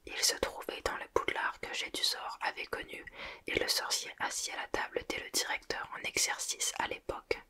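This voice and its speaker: A woman reading a fantasy novel aloud in a steady whispered ASMR style. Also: normal speed. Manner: steady, soft whisper throughout. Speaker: audiobook reader.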